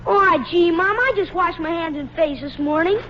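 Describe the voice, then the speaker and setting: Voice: extremely high pitch, moderate pace. A performer playing an eager, wheedling young son in a 1940s radio comedy, whiny when refused. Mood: carefree, grumbling. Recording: muffled, noisy old radio recording.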